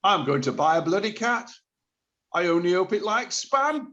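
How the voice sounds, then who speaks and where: A man's voice: high-pitched, sing-song, moderate pace, a bit bright, muffled recording. A man acting a sardonic, complaining shopper stuck in a queue in a radio comedy play. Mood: sardonic grumbling. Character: grumbling, sardonic man waiting in a shop queue.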